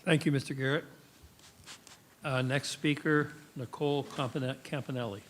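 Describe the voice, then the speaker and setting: A man's voice: mid-range voice, steady tone, moderate pace. A man chairing a meeting, curt and procedural, calling speakers. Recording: distant mic with clicking noise.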